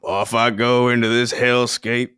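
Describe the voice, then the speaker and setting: A man's voice: medium pitch, little pitch movement, measured pace, a bit bright, clean tone, no room sound, studio quality. A man co-hosting a podcast in casual chat, joking and laughing often, rambling with restarts. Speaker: podcast co-host.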